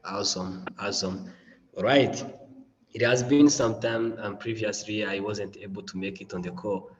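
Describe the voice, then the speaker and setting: A man's voice: on the low side, steady tone, moderate pace, big-room sound, dull audio. A man with a mild flu presenting a learning module on a video call, upbeat, with many fillers and repeated phrases. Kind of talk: online teaching session.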